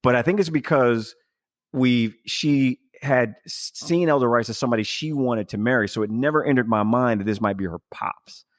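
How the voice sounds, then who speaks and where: A man with a mid-range voice hosts a casual podcast discussion in a dry, close-sounding recording. He recaps a story while thinking aloud, pausing over his words and paraphrasing lines of dialogue. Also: medium pitch, no echo. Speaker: podcast host.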